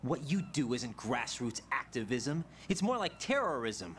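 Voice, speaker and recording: Brief: a tense, questioning young game soldier, animated delivery. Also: male voice, medium pitch, measured pace, a little hiss or hum.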